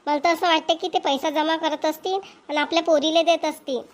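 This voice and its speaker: A woman's voice: sits high, steady tone, fairly quick, natural voice. A woman playing a spoiled, argumentative young wife in a cartoon, whiny and defiant, with a Vidarbha Marathi accent. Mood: demanding. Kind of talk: amateur cartoon voice acting.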